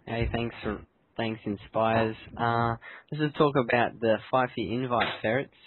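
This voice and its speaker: A man's voice: medium pitch, fairly even intonation, a little slow, background noise. A man hosting a casual gaming discussion. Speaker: host. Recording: narrow lo-fi voice chat.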